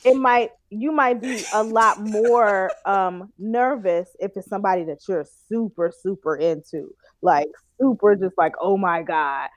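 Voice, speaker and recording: A woman's voice: medium pitch, unhurried, few pauses, no room sound. A woman in casual chat, thinking aloud carefully, with frequent ums and likes as she weighs her words. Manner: thoughtful, hedging with ums and likes.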